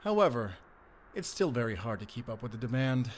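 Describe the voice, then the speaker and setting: A man's voice: medium pitch, sing-song, fairly quick, a little hiss or hum, close to an everyday voice. Man acting as eager, deferential drug-operation manager. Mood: businesslike, confident. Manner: businesslike, explanatory, polite. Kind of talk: roleplay audio drama acting.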